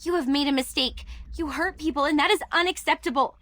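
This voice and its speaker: A young woman voicing a caring but firm storyteller in a video game, earnest and gently reproachful. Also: high-pitched, fairly quick, no echo.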